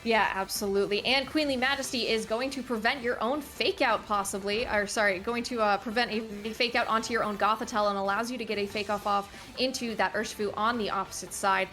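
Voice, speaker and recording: Woman, esports caster, rapid delivery, analytical, background music. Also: mid-range voice, a bit bright. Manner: brisk, analytical, self-correcting. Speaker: esports commentator. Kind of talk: play-by-play game commentary. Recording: music playing under the voice.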